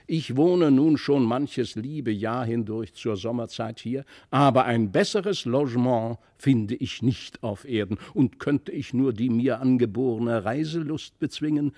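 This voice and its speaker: A man voicing a stately old stork in a storybook, grave and wise, with measured, old-fashioned formal phrasing. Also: mid-range voice, darkish tone, no echo, studio quality, lightly acted. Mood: grave, contented. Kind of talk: storybook reading.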